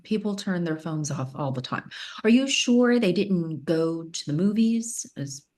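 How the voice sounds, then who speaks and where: A woman's voice: lowish voice, lively intonation, unhurried, darkish tone. A woman playing a dismissive, unbothered police detective in a screenplay table read, skeptical and matter-of-fact.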